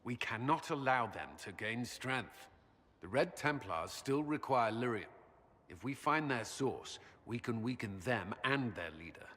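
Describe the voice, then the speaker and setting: An actor playing a grave, duty-bound military commander in a video game, earnest, measured and formal. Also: male voice, mid-range voice, expressive, a bit bright. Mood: grave briefing. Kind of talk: dramatic video game cutscene acting. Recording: hall reverb with background noise.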